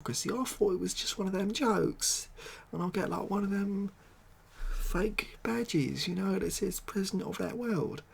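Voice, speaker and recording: A man performs a dim but well-meaning President of the World with an English accent. The voice is breathy and husky, with a gravelly creak. He whines softly and hesitantly, pleading and repeating himself in an improvised ASMR comedy style. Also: high voice, unhurried, heavily acted. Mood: bewildered, pleading. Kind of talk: ASMR comedy roleplay interview, soft improvised monologue.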